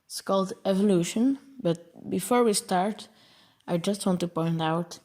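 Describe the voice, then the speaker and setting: A man's voice: high-pitched, a little slow, darkish tone. A young man from Belgium, a native Dutch speaker with a Belgian Dutch accent, explaining his coding project over slides and stumbling over long words. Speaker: programming channel host.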